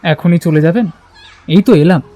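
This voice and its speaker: A man's voice: fairly high pitch, normal speed, dark tone, a little hiss or hum, lightly acted. A man playing a polite, earnest young man in an audio love story, speaking in a courteous, conversational way. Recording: music bed behind the voice.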